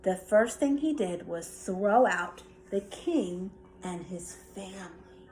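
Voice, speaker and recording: A woman reading a children's bedtime story aloud with expressive narration. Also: medium pitch, slow, slight background noise, poor audio. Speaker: storybook reader.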